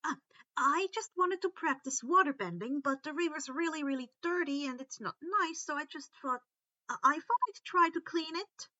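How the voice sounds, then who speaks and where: A woman's voice: high-pitched, animated, moderate pace, bright, forceful tone, dry recording, dull audio, voice pushed up. A woman voicing an earnest, eager young boy who stammers hesitantly, in an amateur story reading. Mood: nervous, hesitant. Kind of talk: amateur fan fiction reading.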